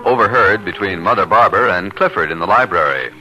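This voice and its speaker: A man's voice: low-pitched, animated, fast, dull audio, loud music behind the voice. A man announcing in a polished, declamatory 1950s radio style, reading scripted narration and sponsor messages.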